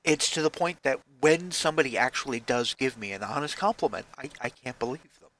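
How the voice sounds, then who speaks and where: A man in his forties talks casually into a headset microphone in his basement, his voice bright and forward. He rambles and restarts often, running himself down with a wry, weary honesty. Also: medium pitch, lively intonation, a little fast, low-quality recording. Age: 40s. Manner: rambling, self-deprecating, frequent restarts. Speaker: vlogger. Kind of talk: casual self-deprecating vlog talk. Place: basement room at home.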